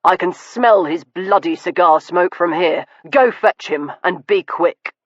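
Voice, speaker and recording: A woman's voice: on the low side, measured pace, dry recording. A woman voicing a tall, imposing aristocratic man who speaks in clipped, firm tones, on a dull, dry recording. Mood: commanding.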